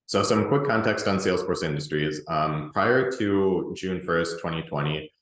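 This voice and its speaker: Very low male voice, relaxed webinar presenter, roomy echo. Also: moderate pace. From Russia, grew up in the US. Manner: relaxed, conversational, many ums and restarts. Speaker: presenter and group organizer.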